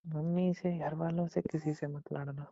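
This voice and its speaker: A young man with a gravelly voice, chatting casually and intimately with his partner on a phone call. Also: a little high, flat delivery, moderate pace, mellow, no room sound. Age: young adult. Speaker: boyfriend. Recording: muffled lo-fi phone call recording.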